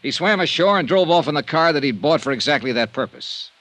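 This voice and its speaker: Casting: a jaded, hard-boiled American insurance sleuth, old-time radio noir, with widely moving pitch. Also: male voice, lively intonation, a little fast, fairly bright tone, no room sound. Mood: accusing, sardonic. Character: cynical, world-weary hard-boiled male insurance investigator. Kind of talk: old-time radio detective drama, noir narration and dialogue. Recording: vintage radio recording, faint music.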